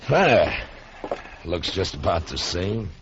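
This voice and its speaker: A steady, weary frontier lawman acted by a man in a radio western. His American voice is deep yet animated, plain-spoken and coaxing. The sound is lo-fi and a little noisy. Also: slow, exaggerated voice. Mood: gentle. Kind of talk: old-time radio western acting. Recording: dull lo-fi recording with background noise.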